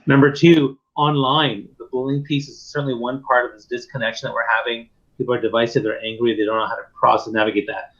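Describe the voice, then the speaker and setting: A man at an ordinary middle pitch hosts an interview. He talks thoughtfully, sets out his points in order and uses frequent fillers. His audio sounds slightly muffled and lo-fi. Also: flat delivery, fast talker, fairly bright tone. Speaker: interview host, keynote speaker. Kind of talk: casual interview conversation.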